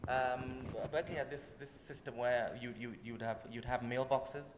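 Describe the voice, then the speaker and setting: A man's voice: medium pitch, moderate pace. Kenyan man telling stories in an echoing hall. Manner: animated, laughing, with many restarts and repeated words. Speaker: guest musician. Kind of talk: casual storytelling on a talk show. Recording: roomy hall with long echo, faint noise.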